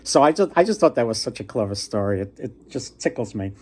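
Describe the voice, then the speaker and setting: A man telling folktales casually as a book vlogger, conversational and unscripted, halting and rambling as he goes. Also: medium pitch, expressive.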